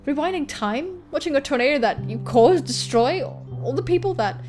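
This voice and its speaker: A woman's voice: high voice, lively intonation, normal speed, a little mellow, soft background music. A woman voicing a guilt-ridden, anxious young woman in a visual novel, fretful and breaking off her sentences. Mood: desperate.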